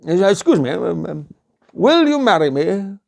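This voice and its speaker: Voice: medium pitch, big pitch swings, measured pace, vocal fry, darkish tone, pitched above the performer's own voice. A storyteller voicing an earnest, flustered young prince who hesitates and stammers. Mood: earnest, worried.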